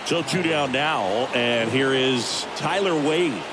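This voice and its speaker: A man's voice: mid-range voice, slow pace, crowd noise, background noise, lightly acted. A man playing a baseball play-by-play announcer, calling the action in a video game's sports commentary. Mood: steady, attentive.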